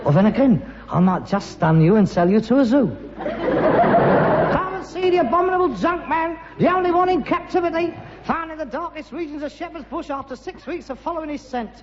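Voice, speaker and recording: A man's voice: very high-pitched, lively intonation, moderate pace, a loud crowd. Theatrical, sarcastic London junk man. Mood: sarcastic, mocking. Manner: sarcastic, theatrical, mock-grand. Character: bitter, pretentious rag-and-bone man, grown-up son. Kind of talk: British sitcom acting before an audience. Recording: muffled, noisy, reverberant old sitcom soundtrack.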